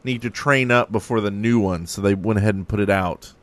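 A man's voice: fairly low pitch, a little fast. A man co-hosting a gaming podcast, chatting informally about news with a joking manner. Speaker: podcast co-host. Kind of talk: casual group chat about gaming news.

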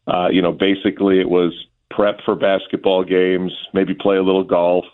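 A man speaking as a guest play-by-play announcer in a radio interview, giving conversational sports analysis in a measured, discursive way. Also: low-pitched, flat delivery. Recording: thin phone-like line.

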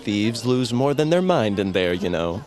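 A man's voice: on the low side, sing-song, moderate pace, darkish tone. An actor playing an earnest, theatrical young tribesman on a quest in an audio drama. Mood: earnest, exasperated.

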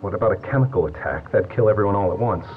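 An actor playing a shaken man in an audio drama about a deserted town, speaking in a halting, low-key way. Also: phone-quality sound, a little hiss or hum, lightly acted. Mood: tense questioning. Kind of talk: audio fiction acting, naturalistic.

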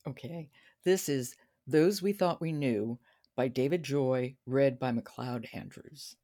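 A woman's voice: a bass voice, takes it slowly, mellow. A woman speaks as the host of a book podcast, in a conversational style. She offers her own takes and moves the discussion along.

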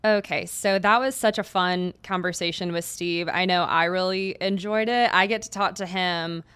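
A woman's voice: on the low side, steady tone, normal speed, a bit bright, smooth. A young woman hosting a sports podcast, talking casually and with enthusiasm, with many fillers. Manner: friendly, filler-heavy, enthusiastic. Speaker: beat reporter, podcast host.

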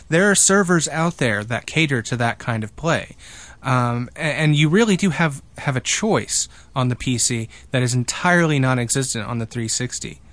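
A man's voice: medium pitch, a bit bright, dry recording. Insistent male podcaster, dry mid-range voice. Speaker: podcast host. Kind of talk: casual debate about gaming.

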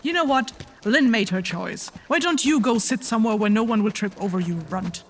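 A woman's voice: fairly high pitch, a little fast, slight background noise, natural voice. A woman playing a proud, distrustful summoner in an audio drama, speaking in a curt, authoritative and dry manner. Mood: defensive, irritated. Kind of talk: audio fiction acting.

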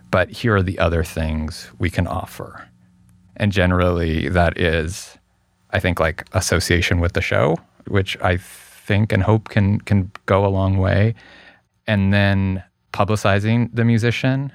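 A man's voice: low voice, creaky, no room sound. A man as an interview guest, explaining his production work casually and thoughtfully, often repeating words as he goes.

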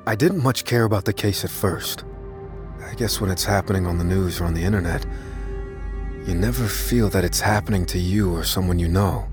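An actor playing an unassuming, secretive young computer whiz, giving a guarded, deliberate confession in an audio drama. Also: male voice, sits low, music in the background, lightly acted. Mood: grave, matter-of-fact. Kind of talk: dramatic first-person audio fiction narration.

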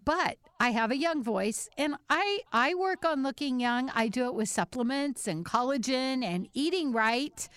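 Middle-aged female radio host, smooth, dry sound, earnest and conversational. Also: high voice, expressive, measured pace, few pauses, fairly bright tone, dry recording. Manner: earnest, thinks aloud with restarts. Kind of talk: casual panel chat.

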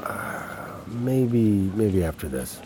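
An animated, low-voiced dad. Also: male voice, very slow, noisy background, full character voice. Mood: hesitant, evasive. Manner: casual, hesitant, reassuring. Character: affable, evasive middle-aged father, security chief. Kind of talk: audio drama acting.

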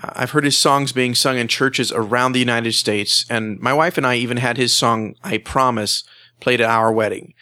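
A man's voice: medium pitch, steady tone, moderate pace, sharp tone, no room sound, clean studio sound. A man hosting a music magazine show, speaking as presenter and interviewer. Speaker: host and interviewer.

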